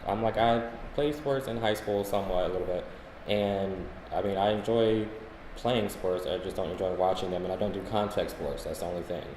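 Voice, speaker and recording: A man's voice: deep voice, a little fast, a little mellow. Guy telling stories on a podcast, chatty, roomy sound, bit of background noise. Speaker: podcast co-host. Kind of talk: casual chat, anecdotal. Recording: echoey room, faint background noise.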